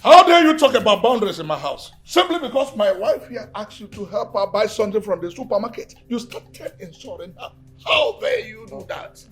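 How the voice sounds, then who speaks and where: High-pitched, domineering Nigerian boss. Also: male voice, sing-song, moderate pace, soft background music. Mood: furious. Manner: shouting, scolding, indignant. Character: angry domineering male household boss and jealous husband. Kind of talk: film drama acting.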